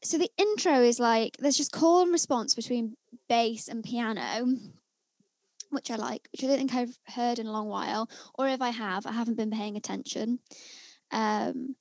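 A young woman with a fairly high voice talks through a song she loves, reading from her notes in a chatty, enthusiastic way. The sound is dry and close, with almost no room on it. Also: normal speed, a little mellow, dry recording. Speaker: podcast co-host reviewing songs. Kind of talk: casual music review chat.

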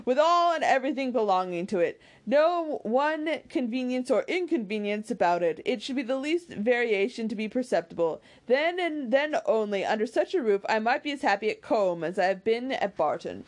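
A woman voicing an ardent, impulsive young gentleman suitor from a period novel, eager and declamatory in formal language. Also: fairly high pitch, animated, moderate pace, lightly acted. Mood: vehement, eager.

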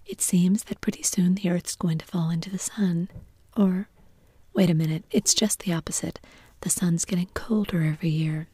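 A blustering, gruff man of wealth, whispered by a woman. The voice sits low and mellow, breathy with no voice behind it. The sound is close and dry, with no room at all. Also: steady tone, normal speed, no echo. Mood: genial.

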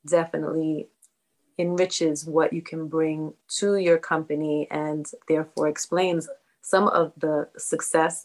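A woman's voice: lowish voice, low-quality recording. A woman hosting an interview podcast, chatty and affirming toward her guest. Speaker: podcast host, school leader.

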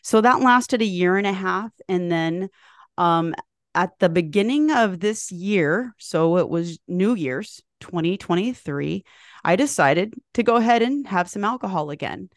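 Chatty woman hosting a podcast, low voice. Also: lively intonation, a little slow, no echo, clean studio sound. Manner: chatty, rambling, friendly. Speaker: podcast host. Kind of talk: casual interview chat.

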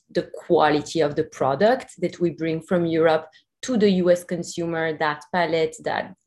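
A woman's voice: low voice, darkish tone. A woman from Belgium with a Belgian accent, a company co-founder chatting as a podcast guest in an upbeat, chatty way. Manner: upbeat, chatty, laughs easily. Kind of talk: podcast interview.

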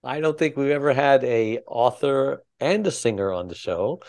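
A male co-host who chats playfully and reacts with quick interjections. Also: medium pitch, lively intonation, darkish tone, no echo, studio quality. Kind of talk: interview chat.

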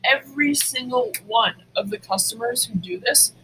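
Sardonic woman with a bright voice. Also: on the high side, moderate pace, slight background noise, poor audio. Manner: sardonic, blunt, profane. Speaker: podcast co-host. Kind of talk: casual chat.

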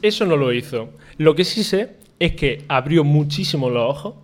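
A man's voice: fairly high pitch, lively intonation, moderate pace. A young man from Spain co-hosting a podcast, telling a story in a rambling, self-mocking way and laughing partway through sentences. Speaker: podcast co-host. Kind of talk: casual storytelling chat.

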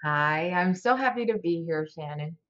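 A woman's voice: fairly low pitch, expressive, moderate pace. A woman in her forties from South Florida, a teacher talking as a podcast guest in an enthusiastic, rambling way. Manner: warm, rambling and enthusiastic, with many fillers and restarts. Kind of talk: casual interview chat. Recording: dull, lo-fi podcast audio.

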